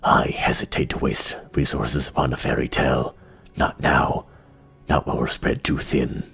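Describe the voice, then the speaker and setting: A very deep, husky insectoid king from a fantasy audio drama, acted out by a man. He is regal and weary, speaking with grave, formal authority and stern command. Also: flat delivery, moderate pace, a bit bright, heavily acted. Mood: weary, firm.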